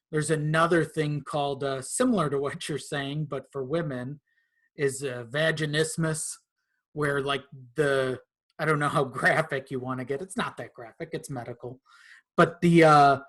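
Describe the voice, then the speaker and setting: A man speaking as a guest in a casual podcast interview, explaining things in a halting way. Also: a little high, fairly bright tone. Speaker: podcast guest, content creator.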